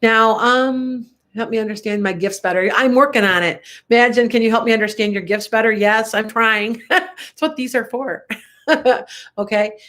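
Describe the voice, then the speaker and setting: A woman's voice: on the high side, normal speed, a bit bright. An older woman with a Chicago accent, congested and coughing a little, chatting and rambling through a live tarot reading for viewers with frequent laughs. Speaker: tarot reader.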